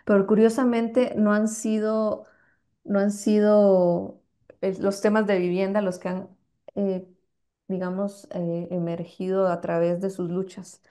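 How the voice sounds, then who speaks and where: Una mujer de con acento costarricense, conferencista que responde con calma a una pregunta del público, buscando las palabras entre muletillas. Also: female voice, medium pitch, measured pace. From Costa Rica. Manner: thoughtful, searching for words with many fillers. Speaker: guest lecturer. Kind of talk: answering an audience question.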